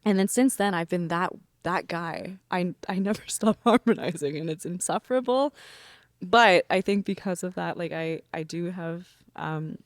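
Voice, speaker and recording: A woman singer in a band, talking relaxed and rambling in a casual interview. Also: lowish voice, moderate pace, dry recording, clean studio sound. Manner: relaxed, rambling with many fillers. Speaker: band member, vocalist.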